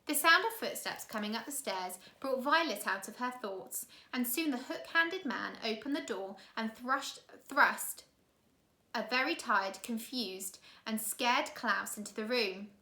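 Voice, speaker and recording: A woman reading a children's novel aloud in an expressive storytelling style with dramatic emphasis. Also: a little high, unhurried. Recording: roomy, echoey room sound.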